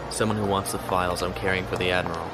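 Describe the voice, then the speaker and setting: Man playing a low-voiced, courteous space lieutenant. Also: level tone, racing, natural voice. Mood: brisk, alert. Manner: polite, dry, formal. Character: composed, courteous noble space-navy lieutenant on the run. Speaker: actor in an audio drama. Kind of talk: science fiction audio drama acting. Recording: noisy ambient background.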